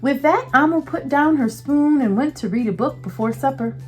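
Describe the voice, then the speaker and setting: A woman's voice: high-pitched, normal speed, darkish tone, soft background music. A woman teacher giving a children's read-aloud lesson, speaking directly to young listeners in an encouraging way.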